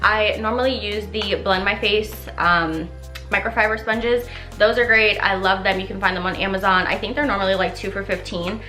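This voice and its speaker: A woman's voice: mid-range voice, steady tone, normal speed, pushed and bright, echoey room, soft background music. A young woman chatting through a makeup tutorial, rambling and playful, a little congested and nasal. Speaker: beauty vlogger. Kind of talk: casual makeup tutorial chat.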